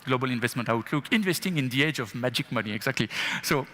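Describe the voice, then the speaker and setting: A man's voice: medium pitch, lively intonation, fast talker, fairly bright tone. A man speaking as a bank investment chief on stage at a fireside chat, answering with rhetorical questions and frequent laughs. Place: event stage in a large hall. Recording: long hall reverb.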